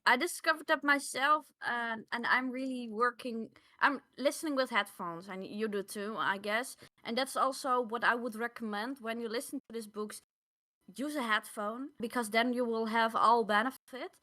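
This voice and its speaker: A woman's voice: fairly high pitch, normal speed, bright, forceful tone. A woman co-hosting a podcast in casual conversation, speaking English as a second language with non-native phrasing. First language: not English. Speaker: podcast co-host. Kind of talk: casual discussion of audiobooks.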